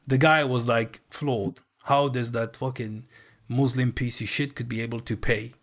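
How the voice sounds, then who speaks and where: A man telling a story on a live stream, animated and swearing freely as he acts out a heated argument. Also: mid-range voice, measured pace.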